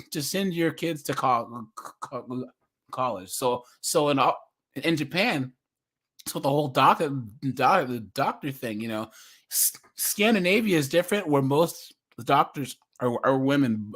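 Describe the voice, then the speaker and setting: A man's voice: medium pitch, a bit bright. An American man arguing his points emphatically in a casual live online group discussion. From United States. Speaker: live stream participant.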